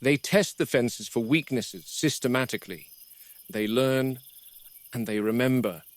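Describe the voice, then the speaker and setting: A man playing a grim, wary game warden who narrates a found-footage horror field log in a measured, grave, deliberate manner. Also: mid-range voice, dry recording, close to an everyday voice. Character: grim, wary male game warden logging predator behavior. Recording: noisy VHS-style found-footage recording.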